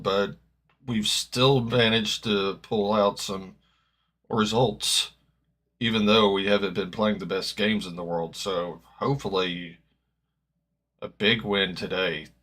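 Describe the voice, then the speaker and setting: A man with a slightly gravelly voice, chatting casually as he reacts live to a football match, rambling and correcting himself as he goes. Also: fairly low pitch, fairly even intonation, slow, fairly bright tone. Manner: rambling, self-correcting, hopeful fan. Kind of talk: casual live-stream chat.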